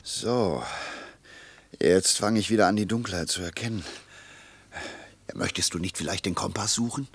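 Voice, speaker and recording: Rauer, sturer Soldat im Hörspiel. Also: male voice, low voice, normal speed, long pauses, slightly rough. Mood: dazed, cautious. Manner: brusque, colloquial, insistent. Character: Larrain, stubborn, commanding, excitable male soldier comrade. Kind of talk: German radio play acting.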